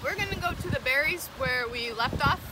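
A woman's voice: sits high, lively intonation, a little fast, rough recording. A young woman on a sailboat, chatting upbeat to a phone camera she holds at arm's length. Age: young adult. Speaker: sailing vlogger. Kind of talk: casual vlog narration. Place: outdoors on a sailboat deck at sea. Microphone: phone selfie at arm's length. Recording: loud wind and boat noise outdoors. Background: wind and boat noise.

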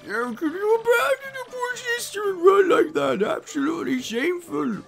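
A cranky old elder of a tiny creature tribe, acted by a woman, scolds in a creaky voice that swings widely in pitch. The bossy game character speaks over music. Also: very animated, normal speed, exaggerated voice. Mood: scolding. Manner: scolding, domineering.

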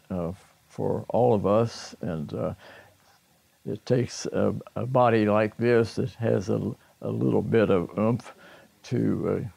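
A man in his seventies giving a halting, broken-up testimonial on a lapel mic. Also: fairly low pitch, vocal fry, a little mellow, no room sound. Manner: halting, slow, broken phrases. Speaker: minister. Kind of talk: unscripted testimonial.

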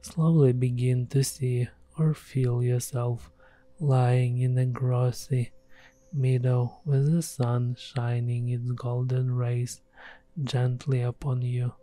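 A man leading a scripted guided meditation in a soothing, gentle, measured way. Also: medium pitch, little pitch movement, no echo. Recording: soft music behind the voice. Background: background music.

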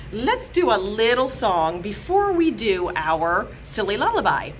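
A woman in her thirties, a children's librarian, reading a picture book aloud and leading songs for young children in a gentle, sing-song, expressive way, filmed on a webcam in a small room. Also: mid-range voice, slight background noise. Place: small room with bookshelves, indoors. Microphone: webcam at close range.

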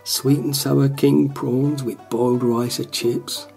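A man's voice: medium pitch, flat delivery, slow, creaky, mellow, soft background music. A man playing a wry, melancholy football fan narrating a radio play.

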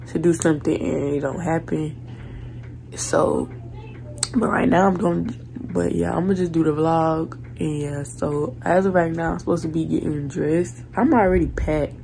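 A young woman vlogger chatting casually to her phone camera in a small bedroom, rambling and low-energy with many fillers. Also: creaky, a little mellow, slight background noise, low-quality recording. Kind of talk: casual vlog chat to camera. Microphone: phone camera at arm's length.

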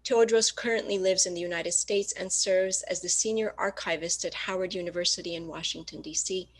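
A woman's voice: fairly low pitch, steady tone, brisk. A woman hosting an online poetry event, reading a prepared introduction of the next poet. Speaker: host. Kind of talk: introducing speakers. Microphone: video call.

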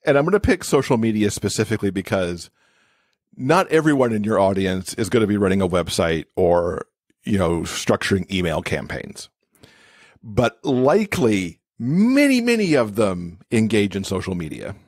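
A man's voice: fairly low pitch, sing-song, moderate pace, dry recording, studio quality. A man speaking as an expert podcast guest, explaining things in casual interview talk.

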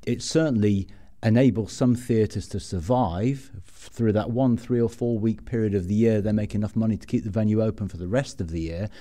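A man's voice: lowish voice, a little fast, dark tone. A man hosting a podcast, interviewing in a reflective, conversational way and often ending with a tag question. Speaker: podcast host.

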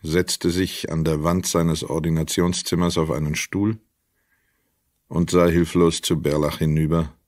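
Deep-voiced male narrator, dry studio sound. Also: flat delivery, measured pace, a little mellow, no echo. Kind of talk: scripted literary narration in a crime radio play.

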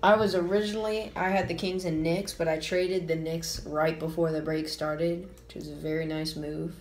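A teenage boy joining his friend's card-opening video, chatting with quick reactions. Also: a little high, little pitch movement, echoey room. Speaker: co-host friend. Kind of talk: casual card-opening chat. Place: home office at a desk, indoors.

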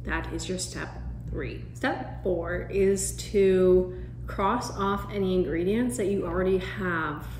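A woman's voice: medium pitch, roomy sound, slight background noise. A young woman in her twenties chatting to camera, explaining her meal prep steps casually and restarting sentences as she goes. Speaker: vlogger giving meal prep tips. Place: indoor room, seated at a wooden table. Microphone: camera across the table.

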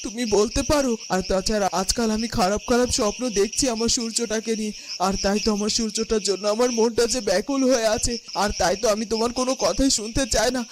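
A woman's voice: fairly high pitch, quick pace, noisy background, natural voice. A woman playing a distraught, stubborn village mother in a cartoon, plaintive and scolding in a melodramatic way. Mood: anguished.

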